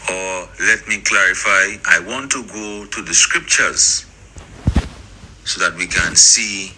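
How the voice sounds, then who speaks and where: A man who says he is a native Hebrew speaker, teaching scripture to listeners in a deliberate, halting delivery of short phrases. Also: low-pitched, poor audio. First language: Hebrew, as the speaker states. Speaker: religious teacher. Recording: steady background noise.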